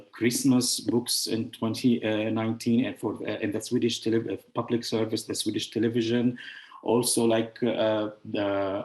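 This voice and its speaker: Founder type: man, lowish voice, hesitant phrasing, echoey room. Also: a little mellow. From Syria. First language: Arabic. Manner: halting, searching for words with many fillers. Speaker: founder presenting his publishing house. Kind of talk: showcase presentation. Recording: roomy echo.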